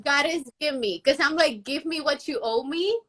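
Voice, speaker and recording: A young woman from Puerto Rico answers interview questions in a high, bright voice. She talks bluntly and with total self-assurance, never hesitating over what she means. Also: normal speed. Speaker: guest. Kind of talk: casual interview.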